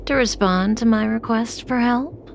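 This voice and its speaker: An actress playing a calm, enigmatic, seductive woman in a video game cutscene, speaking deliberately and with assurance. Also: on the high side, vocal fry, a little hiss or hum. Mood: soft, coaxing.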